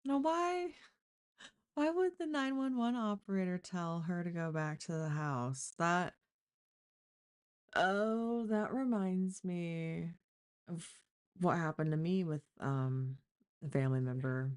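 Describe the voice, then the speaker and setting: A woman hosting a live stream, giving casual commentary in a hesitant, halting way as she thinks aloud. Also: on the low side, expressive, darkish tone, smooth.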